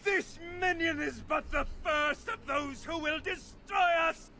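Crazed refugee prophet of doom, very high bright voice, slow fervent declaiming, fantasy game, faint noise. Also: male voice, exaggerated voice. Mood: frantic, ranting. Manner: declamatory, fervent, drawn-out delivery. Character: crazed, despairing refugee doomsayer from a clan. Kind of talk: dramatic fantasy video game acting.

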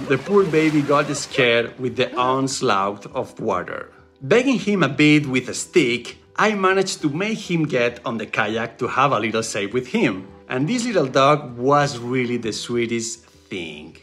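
A man in a native Spanish speaker's voice-over narration, wistful and gently joking as he tells the story. His pitch moves in a lively way, rising and falling. Soft guitar music plays quietly behind him. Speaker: vlog narrator.

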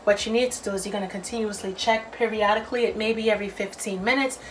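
A woman's voice: mid-range voice, fairly even intonation, quick pace, a little hiss or hum, rough recording. A woman narrating a recipe casually as a cooking host, chatty and playful, talking straight to viewers.

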